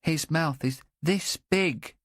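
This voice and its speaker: A man's voice: a little high, no echo, studio quality, voice pushed up. A man doing an anxious, childlike young boy. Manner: halting, earnest, childlike. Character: anxious simple-minded young boy, earnest. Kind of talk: audiobook character voice.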